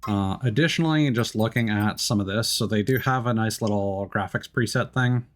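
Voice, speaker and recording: A man's voice: lowish voice, moderate pace. A man livestreaming a video game with casual, chatty and informal commentary, talking to his viewers.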